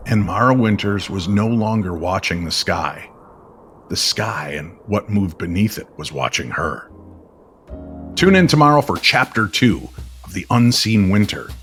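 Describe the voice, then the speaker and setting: Guy narrating a spooky old time radio story, deliberate n dramatic, music behind him. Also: on the low side, animated, a bit bright. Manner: dramatic, deliberate suspenseful phrasing.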